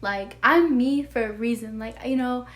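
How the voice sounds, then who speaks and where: A young woman with a soft, childlike voice, talking earnestly to her viewers in a rambling way, full of "like" and "you know". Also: a little high, normal speed. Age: young adult. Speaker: vlogger. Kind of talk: heartfelt personal talk to camera.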